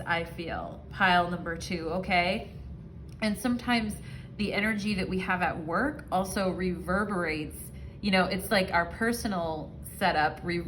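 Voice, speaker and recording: A woman's voice: fairly low pitch, a bit bright, slight background noise, poor audio. A woman giving a casual, rambling tarot reading to her viewers, often checking in with "okay" and "you know". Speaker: tarot reader.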